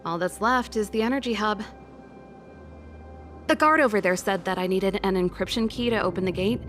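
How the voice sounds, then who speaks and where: A woman's voice: medium pitch, normal speed, fairly bright tone, faint music behind, natural voice. A woman playing an easygoing, bold rock musician in a video game, speaking in a casual, familiar way. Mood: friendly, breezy.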